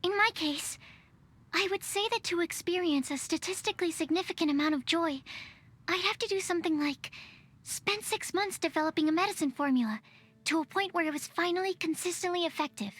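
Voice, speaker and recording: A woman's voice: extremely high pitch, a little fast, fairly bright tone. A woman playing a shy, bookish young female alchemist in a video game, hesitant and precise like a scientist. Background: game music.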